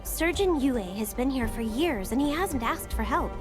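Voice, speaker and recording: A woman's voice: high-pitched, animated, moderate pace. A woman playing an upbeat, caring young female clinic helper in a video game. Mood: earnest, caring. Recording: music behind.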